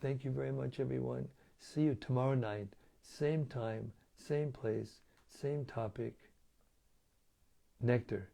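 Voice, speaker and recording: A hoarse man hosts a daily scripture reading over an online stream, relaxed and chatty. His voice is dark and gravelly with fry, and it is plainly wearing out. He speaks at a middling pitch. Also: takes it slowly. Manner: relaxed, chatty, with light chuckles. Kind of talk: casual talk and Q&A on scripture.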